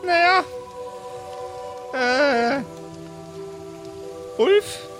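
A man's voice: very high-pitched, expressive, very slow, a bit bright, faint music behind, voice pushed up, exaggerated voice. A man voicing a hesitant, coaxing young woman in an improvised role-play audio story.

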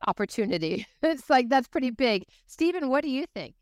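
A woman's voice: high-pitched, big pitch swings, fairly quick, no echo, studio quality. An American woman hosting an interview show in an upbeat, conversational way. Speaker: host.

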